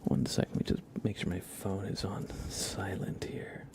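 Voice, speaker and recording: Male ASMR streamer, low whispery voice, casual and unhurried chat, hushed and breathy. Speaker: ASMR livestream host.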